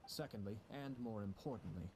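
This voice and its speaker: A man acts as a courteous, analytical young lord in a video game. His voice is on the low side, formal and articulate, with calm deliberate phrasing over audible background ambience. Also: background noise, natural voice. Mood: calm, measured.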